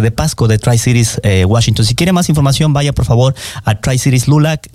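Upbeat radio host, dry studio sound. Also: male voice, medium pitch, darkish tone, no echo. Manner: chatty, upbeat, many fillers. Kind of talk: casual radio talk show chat. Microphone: studio microphone.

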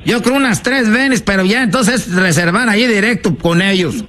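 A man's voice: high voice, moderate pace, a bit bright. A man voicing a boastful small-town rancher with a Michoacán accent, rambling and stammering on a prank phone call. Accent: Michoacán Mexican Spanish. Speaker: radio prank caller.

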